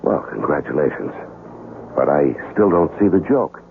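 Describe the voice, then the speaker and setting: A man's voice: extremely low pitch, sing-song, moderate pace, creaky, slight background noise, heavily acted. An actor playing a wary, wry American gunman in a 1970s radio drama, with a blunt and guarded manner. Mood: dry, attentive. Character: wary, wry American amnesiac gunman telling his story. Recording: muffled vintage radio, music underneath.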